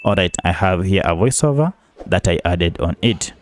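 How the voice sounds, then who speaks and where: A man's voice: deep voice, expressive, moderate pace. A man hosting an app tutorial, giving polite step-by-step instructions. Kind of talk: screen-recording tutorial narration.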